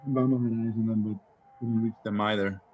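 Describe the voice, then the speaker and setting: A man's voice: fairly low pitch, steady tone, a little fast, a little mellow, lo-fi sound, slight background noise, lightly acted. A man acting a dutiful, hesitant army sergeant, reporting formally to his superior in a table read. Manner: formal, clipped, deferential.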